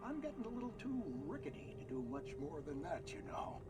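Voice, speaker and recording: An actor playing a genial, modest old small-town doctor as a folksy radio guest. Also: male voice, high voice, big pitch swings, a little fast, heavy background noise. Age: older.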